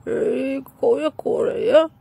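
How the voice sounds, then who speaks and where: A woman voicing a disapproving old father in an amateur radio drama, sighing and grumbling slowly over a noisy recording. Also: high-pitched, vocal fry, warm tone, husky, full character voice.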